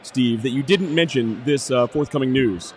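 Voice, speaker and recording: A man co-hosting a casual business podcast, joking playfully, his voice hoarse and going out. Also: medium pitch, normal speed, slight background noise. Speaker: podcast co-host. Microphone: cheap soundboard.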